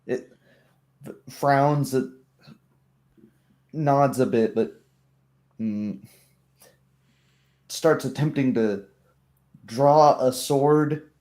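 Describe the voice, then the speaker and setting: Slow, mellow male game master. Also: medium pitch. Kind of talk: tabletop roleplay narration.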